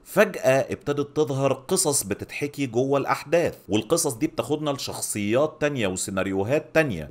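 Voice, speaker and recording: Very fast-talking middle-aged Egyptian man. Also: medium pitch. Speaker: film reviewer, host. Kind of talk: opinionated review to camera. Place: small indoor home studio. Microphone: microphone on a boom arm, close up.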